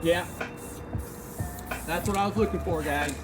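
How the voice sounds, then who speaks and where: A man hosting a graffiti how-to, his voice hoarse, chatting casually and rambling in slang while he paints outdoors. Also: on the high side, unhurried, over loud music. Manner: laid-back, rambling, slangy. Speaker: graffiti artist and channel host. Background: hip hop backing beats.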